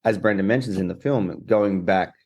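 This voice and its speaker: A man's voice: on the low side, normal speed, a little mellow. A young man, a documentary co-director, answering interview questions in a rambling way with many restarts. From Australia.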